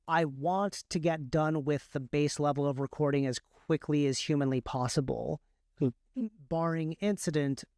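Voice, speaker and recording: A man with a clear, resonant voice pitched a little high. He talks casually as an interview guest, explaining how he works and revising his phrasing along the way. The sound is dry and close. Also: darkish tone, no room sound. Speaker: guest, indie author and audiobook narrator.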